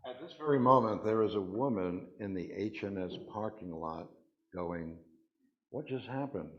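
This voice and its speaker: A man with a low, deep voice reads personal stories aloud as part of a worship service. The recording sounds dull and a little muffled.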